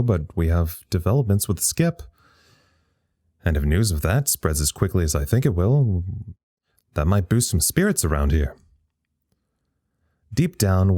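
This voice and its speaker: A man acts out a wry, tired scientist logging reports from an isolated site in an audio drama. The voice is low and soft-edged, recorded close and dry, with a halting, understated delivery. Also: dry recording. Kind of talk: audio drama acting as a live radio log.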